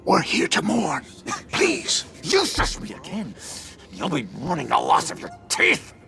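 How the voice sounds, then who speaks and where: Menacing, excitable game character, wildly swooping high pitch, music underneath. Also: male voice, measured pace, creaky, heavily acted. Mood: indignant, threatening. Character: excitable, volatile, threatening man at a funeral. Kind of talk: video game voice acting. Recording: loud music behind voice.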